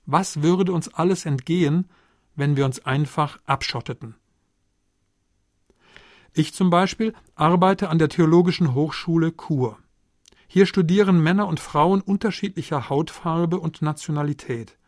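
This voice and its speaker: A man delivers a sermon in a measured, earnest way, with a voice pitched a little above the usual for men. The sound is dry and close, with no room echo. He pauses on rhetorical questions and keeps a steady preaching cadence. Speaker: preacher, theology lecturer. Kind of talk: Pentecost sermon.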